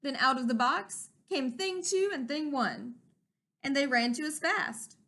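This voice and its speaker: A woman's voice: sits high, very animated, a little slow, bright, forceful tone. A woman reading a rhyming children's story aloud expressively, like a teacher to young listeners.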